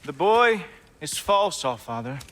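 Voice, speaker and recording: A man's voice: fairly high pitch, sing-song, a little slow, fairly bright tone, a little hiss or hum. An actor playing a suspicious, zealous watchman god in a video game, with an accusing delivery in a reverberant space. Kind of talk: video game cutscene acting.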